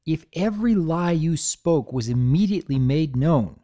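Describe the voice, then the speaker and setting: A narrator reading a story aloud in an expressive storytelling style. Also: medium pitch, moderate pace. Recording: music behind the voice.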